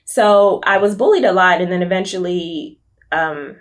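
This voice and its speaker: A woman's voice: lowish voice, normal speed. A young woman from Nashville, telling her personal story as a podcast guest, candid and reflective. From Nashville, USA. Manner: candid and reflective, many fillers like "um" and "right?".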